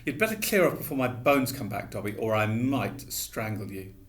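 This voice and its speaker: A man's voice: fairly low pitch, very animated, normal speed. A man doing an irritable hurt schoolboy.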